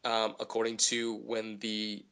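A man talks through his voice-acting work in a relaxed interview with lots of energy. His voice sits somewhat low but has a bright, forward ring. He is a lively, animated storyteller. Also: a little slow, smooth. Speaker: voice actor guest. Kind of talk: casual interview chat.

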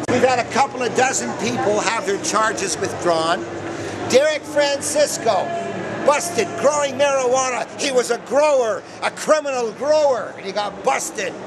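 Man, high voice, animated public speech, outdoors, loud background noise. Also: moderate pace, over a noisy crowd. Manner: storytelling, acting out quoted officials in raised voices. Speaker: speaker at an expo. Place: outdoor expo.

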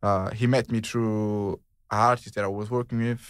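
A young man from Portugal hosts his podcast in a loose, rambling way, full of slang and fillers. His voice sits a little low. The sound is dry and close. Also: level tone, moderate pace, smooth, dry recording. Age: 20s. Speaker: podcast host. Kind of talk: casual chat.

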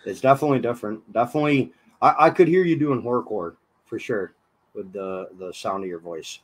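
A young man hosting a casual online radio interview from a small room, his voice hoarse and husky from illness, laid-back and swearing freely. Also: lowish voice, brisk. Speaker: radio show host. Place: small dim room with colored lights.

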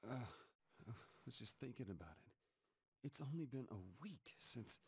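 A man's voice: medium pitch, sing-song, moderate pace, husky, no room sound, exaggerated voice. An actor with an American accent playing a troubled, weary and easily rattled man in a video game. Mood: brooding. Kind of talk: animated video game cutscene acting. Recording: game music behind the voice.

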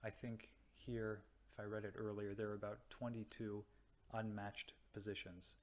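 Young man, slow hesitant explainer, low voice, flat tone. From United States or Canada. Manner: slow, hesitant, many ums and restarts. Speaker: radiology resident. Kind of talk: slide-based explainer. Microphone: voice-over to slides.

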